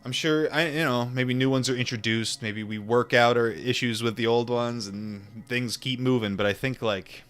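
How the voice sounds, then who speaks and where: A man's voice: medium pitch, fairly even intonation, fairly bright tone, clean tone, soft background music. A man who reads a book aloud to viewers and chats with them in an informal, musing way. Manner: musing, informal, with pauses and repetitions. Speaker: streamer reading a book aloud and chatting with viewers. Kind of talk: book read-aloud mixed with casual reflective chat.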